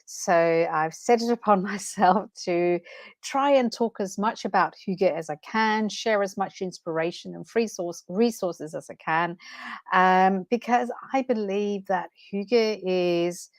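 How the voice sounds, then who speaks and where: A woman's voice: mid-range voice, unhurried, dry recording. A woman with a Danish accent, a transformation coach, chatting unscripted and a little nervously on her first livestream, passionate, with fillers and self-corrections. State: slightly nervous.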